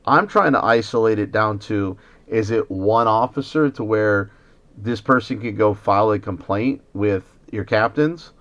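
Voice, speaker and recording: A man's voice: fairly low pitch, measured pace, a bit bright. An American man, a citizen journalist on a phone call, arguing in an indignant, lecturing manner as he confronts the police. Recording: dull lo-fi phone call recording.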